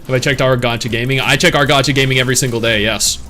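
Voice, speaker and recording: Guy streamer just rambling back at his chat, mid pitch, easygoing, bit of music under it. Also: steady tone, rapid-fire, sharp tone. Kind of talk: casual chat reading live chat.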